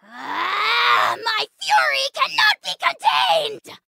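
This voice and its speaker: A haughty little dragon overlord from a video game, with an extremely high, bright, piercing voice. It is gravelly at the edges, theatrical and spiteful, delivering insults as if they were royal decrees. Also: female voice, huge pitch swings, very slow, slight background noise, exaggerated voice. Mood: furious, indignant. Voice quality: shrill, creaky, cartoonish. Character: tiny arrogant dragon lord, bombastic, spiteful, easily enraged. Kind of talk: video game character voice acting.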